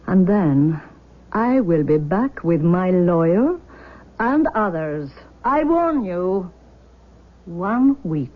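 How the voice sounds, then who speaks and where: An actress in a 1970s radio drama playing an embittered, unyielding mother, with intense, measured phrasing. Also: fairly low pitch, sing-song, a little hiss or hum. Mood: bitter, menacing. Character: embittered, unyielding mother demanding her daughter back. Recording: muffled old radio broadcast.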